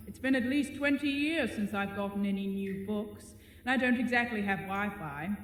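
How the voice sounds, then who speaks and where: An actor in an audio drama voicing a bored, lonely male spider monster with polite, wry, measured phrasing. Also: mid-range voice, natural voice. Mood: coolly bargaining. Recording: echoey cavern-like reverb, faint noise.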